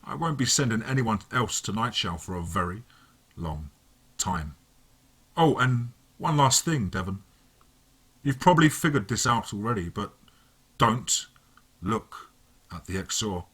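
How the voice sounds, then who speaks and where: A man voicing a sinister male villain who gloats calmly over the phone. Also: on the low side, husky, exaggerated voice. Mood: gloating. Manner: calm, mocking, drawn-out menace.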